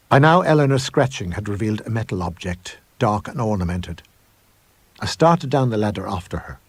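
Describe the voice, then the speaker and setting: A man with a husky voice reading a ghost story as dramatic first-person narration, measured and suspenseful. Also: low-pitched, darkish tone, no echo. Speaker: reader of a short story.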